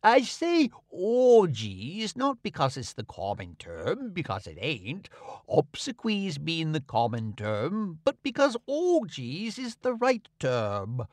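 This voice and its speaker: A pompous old swindler , as a male reader puts him on, who drawls out each word with smug self-satisfaction. His voice swoops high and low with showy emphasis. The sound is close and dry. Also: wildly swooping pitch, slow pace, a little mellow, no echo, exaggerated voice. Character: pompous old male con man posing as an Englishman. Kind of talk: audiobook character reading.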